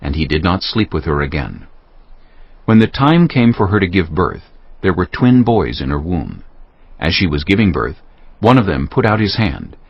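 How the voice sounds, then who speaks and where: Deep-voiced, solemn male scripture narrator. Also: sing-song, creaky, breathy edge, no echo. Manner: measured, solemn storytelling. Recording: dull lo-fi audio, faint music behind.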